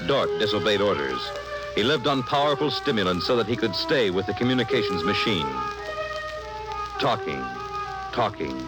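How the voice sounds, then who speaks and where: A man's voice: fairly low pitch, big pitch swings, moderate pace, over loud music, poor audio. A man playing the grave narrator of a science fiction tale, delivering scripted dramatic radio narration. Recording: old 1950s radio broadcast.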